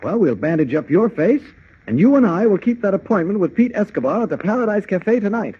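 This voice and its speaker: An actor playing an eager, quick-witted newspaper reporter hero in 1940s radio drama style. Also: male voice, sits high, big pitch swings, breathy edge, heavily acted. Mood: eager, persuasive. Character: eager, resourceful male newspaper reporter, mild-mannered hero. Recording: muffled 1940 radio recording.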